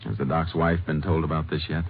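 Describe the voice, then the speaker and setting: An actor playing a resolute, considerate Texas Ranger in an old radio crime drama, with an American accent and a terse, measured, polite delivery. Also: male voice, a bass voice, fairly even intonation, vocal fry, breathy edge, heavily acted. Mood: businesslike. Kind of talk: 1950s radio crime drama acting and narration. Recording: tinny old radio recording with music.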